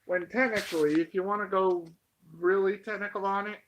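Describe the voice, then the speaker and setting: An American man giving an informal, opinionated monologue to viewers, emphatic and halting, repeating himself to drive points home. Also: high voice, fairly even intonation, fairly bright tone, a little hiss or hum. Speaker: online commentator. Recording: dull, muffled lo-fi sound.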